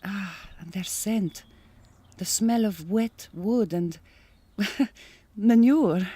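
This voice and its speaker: Radio drama: young Hungarian woman, farm worker, courteous, self-possessed, romantic streak, mid-pitched, Hungarian-accented English. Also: very slow, dark tone, slightly rough. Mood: dreamy, confiding. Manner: careful, formal phrasing. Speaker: actress in an audio drama.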